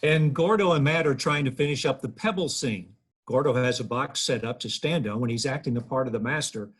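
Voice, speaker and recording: A man's voice: mid-range voice, fairly quick, slightly rough. A man in his thirties narrating stage directions at a table read, from home over a video call. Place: living room, video call.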